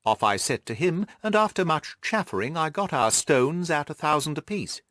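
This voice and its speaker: A man reading the part of a shrewd, self-assured gentleman detective, precise and methodical, in an audiobook. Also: a little high, lively intonation, normal speed, no echo, clean studio sound, voice pushed up. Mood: brisk, satisfied.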